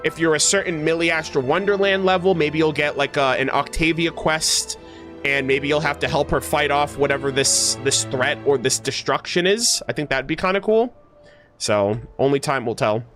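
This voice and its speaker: A man's voice: fairly high pitch, moderate pace, a bit bright. A man streaming a game, reading text aloud and breaking it down casually in gamer slang with emphatic restatements. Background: background music.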